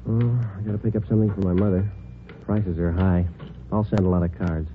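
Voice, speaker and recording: An actor playing a laconic, businesslike police detective sergeant in a 1940s radio drama, deadpan and clipped. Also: male voice, low voice, a little fast. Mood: casual, dry. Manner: deadpan, clipped, matter-of-fact. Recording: tinny, hissy old radio recording.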